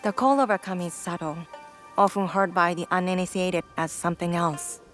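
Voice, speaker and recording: A woman voices a devout shrine priestess who speaks in a measured, solemn way, her voice sitting a little low. Soft game music runs under her. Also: fairly even intonation, lightly acted. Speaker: game character. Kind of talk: video game cutscene acting.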